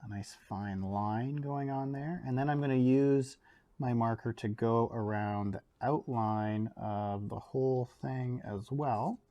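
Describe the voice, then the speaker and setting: A Canadian man teaching an art lesson from his home studio, thinking aloud and filling pauses as he draws. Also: mid-range voice, muffled recording. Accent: Canadian English. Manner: relaxed, thinks aloud with fillers while drawing. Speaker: art educator. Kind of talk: casual art demonstration. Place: home studio, overhead camera on a table.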